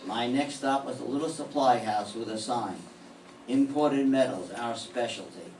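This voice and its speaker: A man's voice: mid-range voice, unhurried, darkish tone, natural voice. Older guy doing a dry sardonic private eye, old radio show style, roomy and far off. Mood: narrating. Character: hard-boiled male private detective, dry and sardonic. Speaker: amateur radio play performer. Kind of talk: 1940s radio acting. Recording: echoey room from far off, faint hiss.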